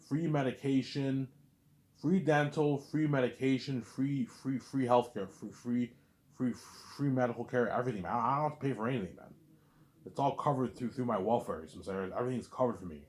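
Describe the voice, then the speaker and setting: A man's voice: medium pitch, a little fast, darkish tone. A man talking to camera in a casual, rambling monologue, blunt and conversational, who keeps repeating and restarting his words. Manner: repetitive, restarts words often; blunt, conversational, asks "you know what I'm saying". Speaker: vlogger.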